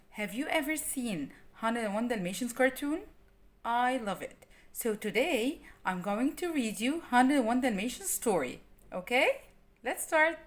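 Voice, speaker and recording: A woman reads a children's story aloud. Her voice sits fairly high and moves in lively swings. She addresses young listeners simply, stressing the dramatic words. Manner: simple, child-directed delivery with dramatic emphasis.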